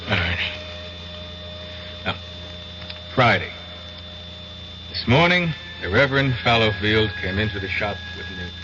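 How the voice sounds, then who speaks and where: An actor playing a skeptical lawyer questioning a client in a matter-of-fact, doubting way, in 1970s radio drama style. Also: male voice, lowish voice, lively intonation, measured pace, pauses often. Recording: muffled, noisy old radio broadcast.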